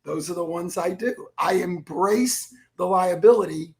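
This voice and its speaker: Man, froggy rough voice, high-pitched, energetic, animated guest. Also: a little slow. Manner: energetic storyteller who acts out quotes. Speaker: guest, keynote speaker and author. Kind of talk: animated interview talk.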